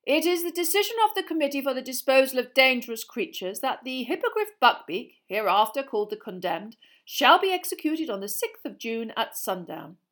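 A woman's voice: high-pitched, sing-song, fairly quick, hardly any pauses, fairly bright tone, voice pushed up. A woman doing a reedy officious official. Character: reedy officious male committee member.

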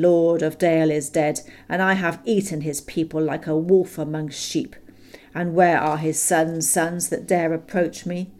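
A woman voicing a huge, ancient, boastful male dragon, speaking in a loud, slow, gloating way. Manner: booming, gloating, slow and grand.

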